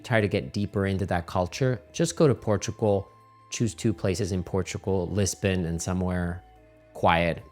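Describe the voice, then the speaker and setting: A middle-aged man, giving casual, animated travel advice as a channel host. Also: sits low. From Finland.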